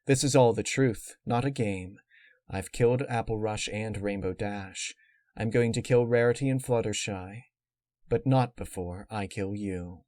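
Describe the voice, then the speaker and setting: A man voicing a cold, calculating female unicorn villain who explains menace in a measured, matter-of-fact way. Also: lowish voice, darkish tone, no echo, studio quality, natural voice. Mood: menacing.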